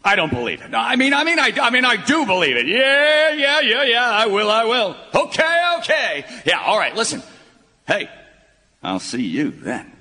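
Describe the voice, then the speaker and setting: An actor playing a cantankerous, theatrical old retired broadcaster, folksy and blustery, in a live radio comedy. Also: male voice, very high voice, sing-song, moderate pace, bright, forceful tone, slight background noise, heavily acted. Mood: amazed, excited. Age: older. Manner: folksy, blustery, stammering when worked up. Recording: echoey live stage hall.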